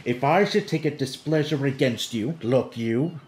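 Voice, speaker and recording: A man's voice: medium pitch, normal speed, darkish tone, lightly acted. Man as a blustering drunkard, echoey room. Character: blustering, threatening drunken would-be king. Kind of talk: Shakespearean radio play acting.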